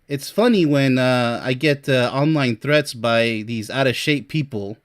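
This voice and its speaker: A man in his early forties giving a blunt, boastful monologue with sarcastic asides and short laughs, reading points off a list. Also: medium pitch. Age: 40s. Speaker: relationship coach and vlogger. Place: Europe.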